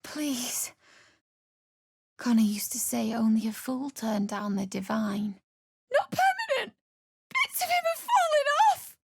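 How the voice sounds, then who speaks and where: A woman's voice: a little high, huge pitch swings, takes it slowly, gravelly, long pauses, husky, dry recording, exaggerated voice. A woman playing a distraught, pregnant young widow in a video game, emotional and pleading, swinging between grief and bitter anger. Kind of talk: dramatic video game voice acting.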